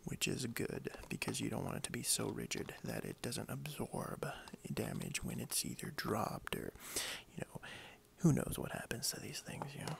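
A man speaking softly in a breathy half-whisper, hushed and friendly, role-playing a toy shop owner for ASMR. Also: medium pitch, wildly swooping pitch. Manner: hushed, unhurried, friendly, hesitant phrasing. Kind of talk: soft-spoken ASMR role play. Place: indoors at a wooden desk.

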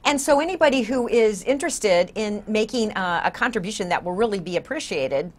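A woman in her sixties hosts a casual studio interview. She speaks in a chatty, conversational way at a middling pitch for a woman. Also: expressive, a little fast. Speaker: talk show host. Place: small TV studio, indoors.

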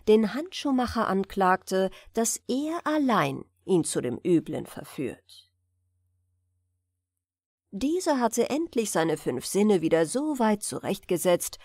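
A woman narrating a fairy-tale audiobook in an expressive, deliberate storytelling manner. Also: mid-range voice, no echo, studio quality.

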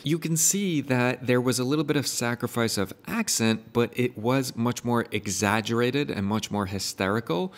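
A man in his thirties hosting a software tutorial, upbeat and eager as he explains each step. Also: mid-range voice, normal speed, few pauses. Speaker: tutorial host and AI consultant. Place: indoor room in front of a painted brick wall.

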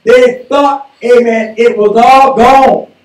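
Slow, high-voiced preacher saying amen constantly. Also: male voice, steady tone. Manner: slow preaching, saying amen after nearly every phrase. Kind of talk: sermon. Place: small church sanctuary, indoors. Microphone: pulpit mic, filmed by a far camera. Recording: echoey room from far off.

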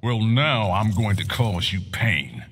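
A man's voice: fairly low pitch, very animated, slow, fairly bright tone, a little hiss or hum, exaggerated voice. A man playing a blunt, tough-talking soldier father in a fighting game. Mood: stern. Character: gruff protective soldier father, middle-aged.

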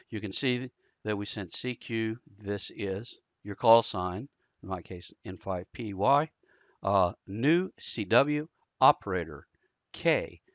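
A man's voice: on the low side, a bit bright, no room sound, lo-fi sound. An American man giving a casual screen-recorded tutorial, explaining informally step by step. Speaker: amateur radio instructor.

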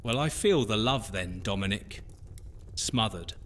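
A man playing a fussy, excitable, touchy companion in a fantasy audio drama. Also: fairly low pitch, a little slow. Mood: huffy. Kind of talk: immersive fantasy roleplay audiobook acting.

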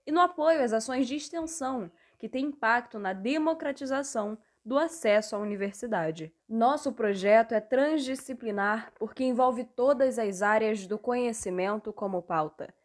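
A young woman, a student reporter, presenting a scripted segment to camera in a measured, deliberate way, recorded on a wired earphone mic. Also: on the high side. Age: young adult.

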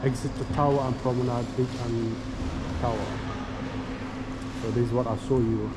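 A middle-aged man talks casually to his handheld camera as a travel vlogger. His voice sits at a medium pitch, and he speaks haltingly, thinking aloud. Loud transport noise and hum fill the recording. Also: fairly even intonation. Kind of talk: casual vlog commentary. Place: railway station hall and inside a train carriage. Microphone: action camera at arm's length. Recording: loud ambient station and train noise.

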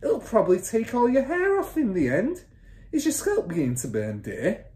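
An exasperated wife and mother from a children's storybook, acted by a woman reader. She scolds and nags in a high, shrieking, scornful voice that swoops around. The sound is roomy and echoing. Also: huge pitch swings, normal speed, warm tone. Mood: exasperated lecturing.

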